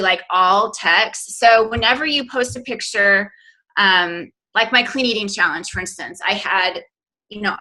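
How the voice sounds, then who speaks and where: A woman with a crisp, bright edge to her voice coaches casually on a group call. She talks in an informal, chatty way and illustrates her points with little quoted asides. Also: mid-range voice, poor audio. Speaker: guest speaker, business coach.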